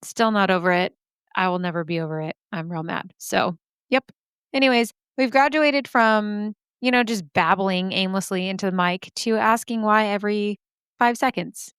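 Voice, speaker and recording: Hoarse, chatty woman podcast host. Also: medium pitch, normal speed, no room sound, studio quality. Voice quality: vocal fry. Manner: rambling, self-deprecating, animated asides. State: hoarse, scratchy voice.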